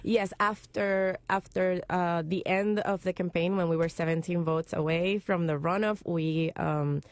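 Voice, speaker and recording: A woman from Rico speaks in a slightly low voice. She talks earnestly and steadily, with frequent fillers, as a guest in a radio studio. The sound is close and dry. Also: steady tone, measured pace, dry recording. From Puerto Rico. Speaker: political candidate guest. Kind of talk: radio interview.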